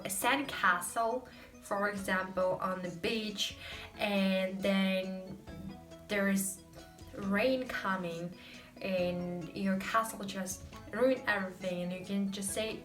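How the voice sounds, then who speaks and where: Chatty russian-accented woman, slow. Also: medium pitch, fairly bright tone, reverberant, recorded from a distance, soft background music. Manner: chatty, explains with many fillers, code-switches. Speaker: host. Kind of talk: casual language lesson.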